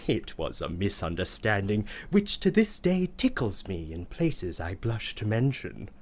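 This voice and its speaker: Man acting as prissy uncle, theatrical and verbose, lively swooping intonation, slightly low voice, comic radio monologue. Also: measured pace. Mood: proud, fussy. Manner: pompous, ornate, theatrical. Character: prissy, verbose, scandalized uncle telling family tales.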